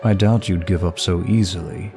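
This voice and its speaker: A man playing a suave, seductive demon of lust in an intimate audio roleplay, flattering the listener in a formal, flirtatious manner. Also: deep voice, natural voice. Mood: charming, admiring. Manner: smooth, flirtatious, formal, unhurried. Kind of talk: intimate ASMR-style roleplay, one side of a dialogue. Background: music.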